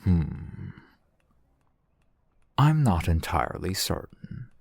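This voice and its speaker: Man playing a low, gravelly, courteous fantasy shopkeeper. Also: wildly swooping pitch, dry recording, full character voice. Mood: thoughtful. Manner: formal, wordy, measured, polite. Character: courteous, shrewd fantasy shopkeeper, male, dabbling in magic. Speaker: ASMR roleplay performer. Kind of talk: ASMR roleplay, scripted character acting.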